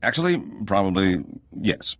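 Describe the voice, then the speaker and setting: A man's voice: fairly low pitch, sing-song, moderate pace, fairly bright tone, dry recording. An actor playing a wry, sardonic male underworld operator in a video game. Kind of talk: video game cutscene acting.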